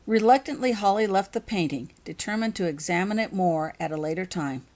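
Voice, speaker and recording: A woman's voice: medium pitch, a little fast, darkish tone. A woman reading her own fantasy fiction aloud as a scripted audiobook narration.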